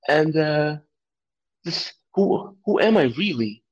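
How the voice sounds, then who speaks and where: A man's voice: fairly high pitch, lively intonation, slow pace. A man from Aruba, an artist being interviewed, answering in a reflective way and searching for his words. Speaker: guest artist. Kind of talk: podcast interview. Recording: dull lo-fi audio.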